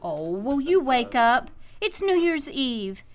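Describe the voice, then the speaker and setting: Woman acting an exasperated, high-voiced radio sitcom wife. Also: sing-song, takes it slowly, exaggerated voice. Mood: exasperated, scolding. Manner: scolding. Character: exasperated, nagging wife and mother. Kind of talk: old-time radio comedy acting.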